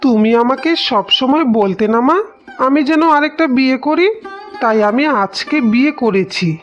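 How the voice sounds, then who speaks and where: A woman's voice: sits high, animated, normal speed, soft background music. A woman voicing a cold, domineering village husband in a melodramatic cartoon. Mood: self-satisfied. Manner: stern, dismissive. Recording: dull lo-fi cartoon soundtrack.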